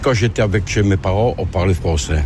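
A man's voice: deep voice, brisk. An older man born in Italy with a Liège accent, talking plainly and directly in a casual interview. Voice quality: gravelly, direct. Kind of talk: casual chat. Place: outdoors on a town street. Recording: outdoor street noise.

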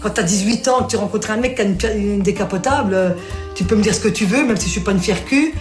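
A woman's voice: mid-range voice, fast talker. Chatty older woman over music. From Belgium. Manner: chatty, animated, many fillers. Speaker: interviewee. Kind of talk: casual storytelling interview. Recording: music playing underneath.

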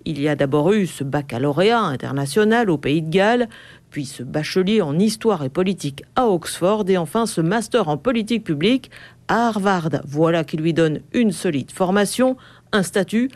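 A man delivering a measured radio news report. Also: sits high, animated, dry recording. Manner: measured, factual.

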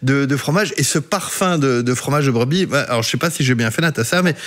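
A man's voice: mid-range voice, quick pace. A man with a Belgian French accent chatting casually and keenly as a food expert on the radio, often hesitating and repeating words. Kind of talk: casual, enthusiastic radio chat.

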